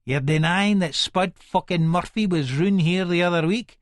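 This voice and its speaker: A man's voice: sits high, normal speed, dry recording, clean studio sound, natural voice. A man voicing a violent, foul-mouthed Scottish father in a story, barking orders and threats aggressively. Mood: menacing.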